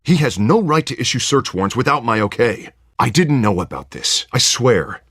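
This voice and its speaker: A man's voice: medium pitch, sing-song, normal speed, a bit bright, breathy edge, no echo, studio quality. A man playing an earnest, harried politician running for office in a video game, affable and conciliatory. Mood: worried.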